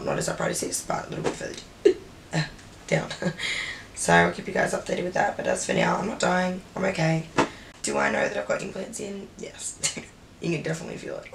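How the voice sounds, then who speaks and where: Young woman, croaky and sleepy post-op, gravelly quiet vlog delivery, faint room hiss. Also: deep voice, recorded from a distance. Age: young adult. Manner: drowsy and quiet, trailing off. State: croaky voice after surgery. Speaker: vlogger. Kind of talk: casual recovery vlog to camera. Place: bedroom. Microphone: handheld camera.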